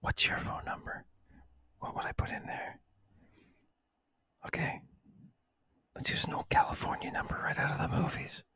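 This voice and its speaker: A man hosting ASMR, speaking to the listener in a hushed, breathy whisper and soft voice. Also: moderate pace, long pauses. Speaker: ASMR video host.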